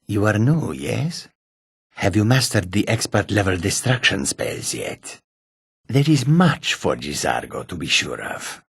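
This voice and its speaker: Deep, husky, self-assured catfolk adventurer. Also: male voice, very animated, measured pace, fairly bright tone, no echo, clean studio sound, full character voice. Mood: proud, confident. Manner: self-assured, formal, speaks of himself in third person. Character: proud, courteous male catfolk mage and warrior. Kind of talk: video game character dialogue.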